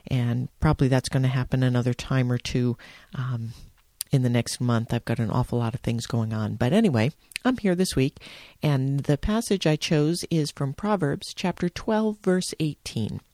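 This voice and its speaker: A middle-aged American woman hosting a spoken devotional, telling it in a measured, reflective way. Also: rumbling low, mellow, dry recording, clean studio sound. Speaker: devotional podcast host, church organization director.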